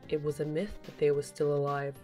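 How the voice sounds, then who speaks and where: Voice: medium pitch, completely flat delivery, fairly quick, warm tone. Audio drama narrator with faint music. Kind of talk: scripted story narration of a fan audio drama.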